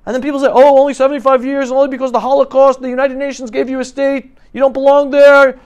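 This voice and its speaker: A man's voice: very high-pitched, fast, dull audio. Emphatic middle-aged New man speaking. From New York City, United States. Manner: emphatic, animated, swinging between loud and hushed. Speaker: guest speaker addressing high school students. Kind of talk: impassioned motivational talk to a youth audience. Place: classroom, indoors. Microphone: lapel mic.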